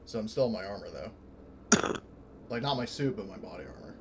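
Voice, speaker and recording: A man's voice: fairly low pitch, animated, normal speed, gravelly, a little hiss or hum. A man chatting casually as a player in a tabletop roleplaying game.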